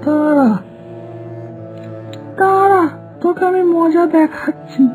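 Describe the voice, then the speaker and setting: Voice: very high voice, steady tone, slow, darkish tone. A performer voicing a cheeky, whiny young village boy in a cartoon, with theatrical, exaggerated delivery. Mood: vengeful plotting. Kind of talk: exaggerated Bengali cartoon voice acting. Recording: dull lo-fi sound, faint music.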